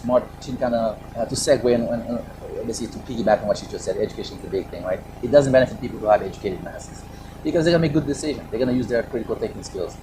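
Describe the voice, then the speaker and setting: A man's voice: medium pitch, rapid-fire, noisy background. A man with a Haitian accent, speaking as a panelist on a video call, passionate and emphatic with rhetorical questions. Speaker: panelist, Air Force operations director. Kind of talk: virtual panel discussion.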